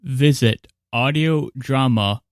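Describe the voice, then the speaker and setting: A man's voice: mid-range voice, takes it slowly, no room sound, studio quality. A man reading a short scripted plug as an announcer.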